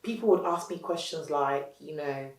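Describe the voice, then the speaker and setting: A woman from Nigeria with a light Nigerian accent, a business founder telling her story in a candid interview, animated, laughing often and restarting her sentences. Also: sits low, moderate pace. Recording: roomy, mic at a distance.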